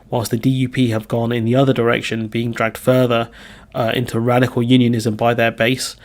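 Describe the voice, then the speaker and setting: Man with a cold, flat commentary. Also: mid-range voice. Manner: reads quotes then comments, frequent fillers. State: hoarse from a cold. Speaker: political commentator. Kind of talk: opinionated political commentary.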